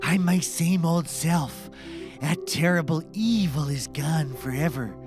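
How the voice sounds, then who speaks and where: A man's voice: a little high, slow pace, music in the background. A man playing an earnest, easily exasperated mad scientist in a scripted audio comedy, grave, urgent and theatrical. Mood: relieved, triumphant.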